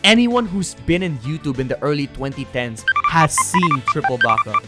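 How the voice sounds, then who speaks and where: A young adult narrating a scripted video essay in a casual, animated way, moving between earnest points and playful asides. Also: mid-range voice, normal speed. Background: background music.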